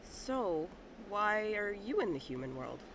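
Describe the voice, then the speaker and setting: Over faint ambience, a woman plays a sincere young hunter in an audio drama. The speech is slow and hesitant, at a middling pitch. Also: sing-song, fairly bright tone, slight background noise, heavily acted. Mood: shy, relieved. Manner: hesitant, unhurried. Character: humble young human hunter, sincere and bashful.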